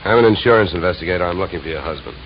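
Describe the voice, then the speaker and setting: An actor playing a wry, deadpan insurance investigator in a 1950s radio detective drama, brisk and wisecracking. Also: male voice, low-pitched, slight background noise. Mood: dry, questioning. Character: wry, hard-boiled male insurance investigator, narrating his case. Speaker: lead actor and narrator. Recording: dull vintage radio recording, music behind.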